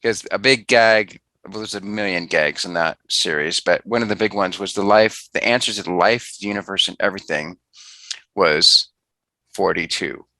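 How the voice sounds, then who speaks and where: A man's voice: sits low, normal speed, sharp tone, dry recording, rough recording. A man hosting a casual interview, asking rambling, chatty questions with many restarts.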